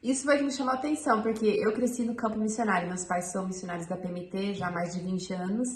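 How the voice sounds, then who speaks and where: A woman's voice: mid-range voice, fairly even intonation, fast, a little hiss or hum. A woman from Brazil, a missionary, sharing her personal testimony in a chatty storytelling way with frequent fillers. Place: home in Guatemala. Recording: echoey room.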